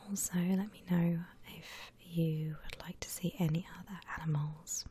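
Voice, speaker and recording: A woman whispering breathily and gently as she hosts an unhurried ASMR art tutorial. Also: lowish voice, fairly even intonation. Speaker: host of an ASMR drawing channel. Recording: faint background noise.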